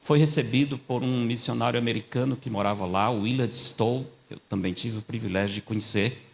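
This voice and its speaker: A man's voice: medium pitch, a little fast, vocal fry, slight background noise. Older male preacher, chatty storytelling sermon. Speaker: guest preacher. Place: church.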